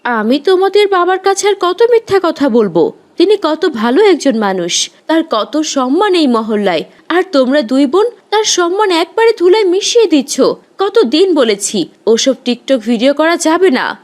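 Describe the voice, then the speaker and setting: A woman's voice: high-pitched, sing-song, normal speed. A woman playing an exasperated, protective middle-aged mother in a cartoon, with an emphatic, scolding manner. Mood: questioning, exasperated.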